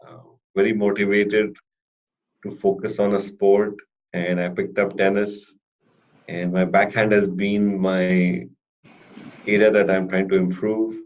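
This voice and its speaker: A man giving a testimonial as a therapy client, speaking deliberately, with a mild stutter of repeated words and brief blocks. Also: lowish voice. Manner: measured, deliberate delivery. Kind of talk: interview answers. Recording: dull lo-fi recording with faint hiss.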